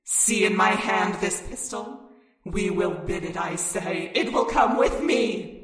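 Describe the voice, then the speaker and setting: A woman's voice: on the low side, slow pace, roomy sound, in a large hall, over loud music. A woman playing a vengeful, fatalistic colonial ghost possessing a wife, speaking archaically in old-time radio horror style. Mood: menacing, triumphant. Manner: archaic, theatrical speech.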